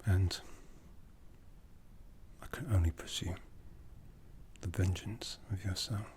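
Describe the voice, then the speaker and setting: A man voicing a brooding, tender and possessive vampire lord for an ASMR roleplay, in a soft, breathy half-whisper with slow, intimate, solemn delivery. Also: low voice, a little hiss or hum. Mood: solemn, intense.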